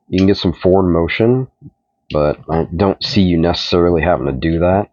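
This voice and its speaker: A middle-aged man with a stuffed-up voice, casually reviewing a toy in a relaxed, rambling way. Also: deep voice, dry recording. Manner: relaxed, rambling, repeats himself while handling the figure. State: stuffed up from a cold. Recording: dull, dry home recording.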